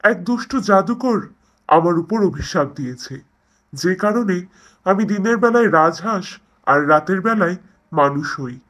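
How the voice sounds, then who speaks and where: A man's voice: high voice, animated, unhurried, long pauses, fairly bright tone. A man playing a sorrowful, earnest young prince under a curse in a cartoon fairytale.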